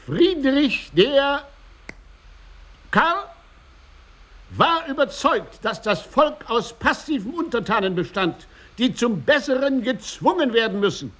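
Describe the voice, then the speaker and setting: An actor playing a pupil who reads history aloud in a halting recitation for a radio drama. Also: sits high, sing-song, fairly bright tone, full character voice. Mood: reciting.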